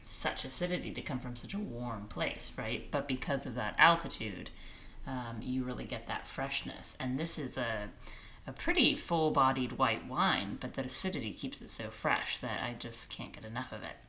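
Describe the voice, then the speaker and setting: A woman's voice: rumbling low, moderate pace, sharp tone. A woman co-hosting a wine show, reading out news items and asking her co-host questions in a lightly teasing way. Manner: newsy, inquisitive, lightly teasing. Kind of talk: conversational wine news presenting.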